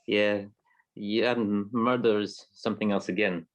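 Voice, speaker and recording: An actor playing a cold, menacing racketeer boss in a 1940s style radio drama. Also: male voice, lowish voice, level tone, unhurried, close to an everyday voice. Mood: sinister.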